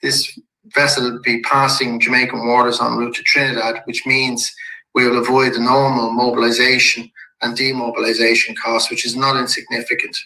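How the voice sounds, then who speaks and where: A man with a croaky voice from a head cold, giving a measured presentation to shareholders. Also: mid-range voice, level tone, sharp tone, reverberant, rough recording. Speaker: company executive presenting to shareholders. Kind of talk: investor presentation.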